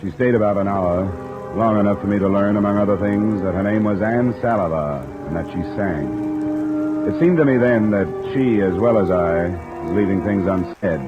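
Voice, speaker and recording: An actor playing a cool, wry American investigator in a 1950s radio detective drama, speaking in a dry, clipped, understated way. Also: male voice, fairly low pitch, moderate pace, over music, lightly acted. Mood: reflective narrating. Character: cool, wry freelance insurance investigator, a man. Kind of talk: 1950s American radio detective acting and first-person narration. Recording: muffled vintage radio recording, rain behind.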